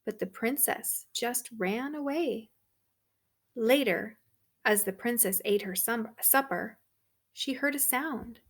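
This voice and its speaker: Slow, high-voiced woman reading a storybook. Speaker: storybook reader for third graders. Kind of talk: read-aloud storytelling for children.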